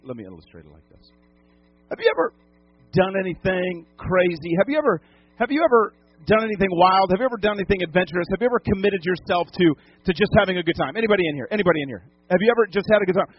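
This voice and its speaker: A high-pitched male pastor from New England preaches to his congregation, pressing his points through repetition and direct questions. The recording sounds dull and lo-fi, as if taken in a church sanctuary. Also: expressive, fast, a little hiss or hum. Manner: emphatic, repeats phrases, asks the congregation questions. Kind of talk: sermon.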